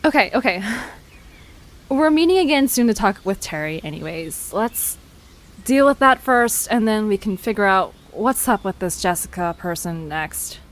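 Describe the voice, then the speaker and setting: Expressive, level-headed young woman played by an actress. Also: mid-range voice, normal speed, close to an everyday voice. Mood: decisive. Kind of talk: audio drama acting.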